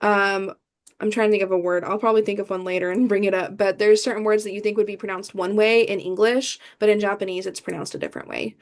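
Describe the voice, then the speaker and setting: A woman's voice: medium pitch, fairly even intonation, fast. A young woman from the American Midwest, answering interview questions in a chatty way, with many fillers and restarts. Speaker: idol performer, guest.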